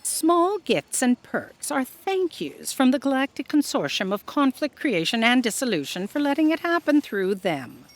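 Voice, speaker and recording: A woman acting as a pompous, self-serving bureaucrat boss in a comic radio play. The voice is fairly high and sweeps up and down with great authority. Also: very animated, moderate pace, hardly any pauses. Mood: smug. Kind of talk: scripted audio comedy drama acting.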